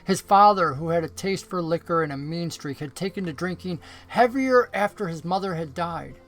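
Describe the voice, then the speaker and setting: A man reading a Christmas story aloud as an expressive scripted narration. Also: a little high, moderate pace. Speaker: story reader, pastor.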